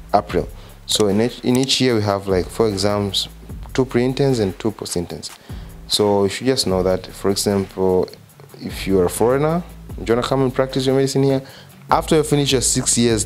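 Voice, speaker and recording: Young Tanzanian man, Swahili-speaking, low-pitched, informal vlogger who rephrases himself; living room, handheld mic. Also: darkish tone. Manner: informal, repeats and corrects himself. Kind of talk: casual explanatory vlog. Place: living room, seated on a sofa. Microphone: handheld microphone.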